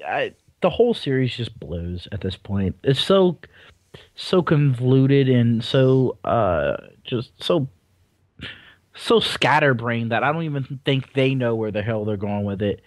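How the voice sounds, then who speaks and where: A man's voice: mid-range voice, expressive, measured pace, dry recording. A young man co-hosting a casual video game podcast, blunt and opinionated and prone to rambling. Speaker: podcast co-host. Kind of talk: casual chat about video games.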